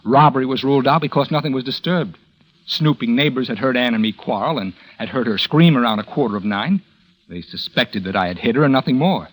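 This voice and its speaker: An actor playing a calculating murderer who gives a dry, sardonic confession in a 1940s radio drama. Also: male voice, medium pitch, fairly quick, close to an everyday voice, poor audio. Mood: grim. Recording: old radio broadcast with faint music.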